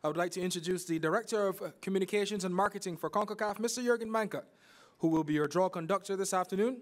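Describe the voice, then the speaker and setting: A man's voice: high-pitched, fast. A man from Barbados acting as master of ceremonies, introducing speakers at a formal event in a polished, announcing way.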